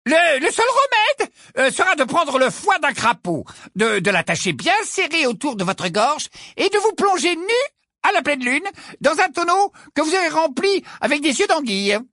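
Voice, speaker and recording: A man's voice: extremely high pitch, sing-song, normal speed, bright, forceful tone, dry recording, studio quality, voice pushed up, full character voice. A man voicing a pompous, fussy old medieval wizard healer from a painting, stammering and drawing words out grandly. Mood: insistent.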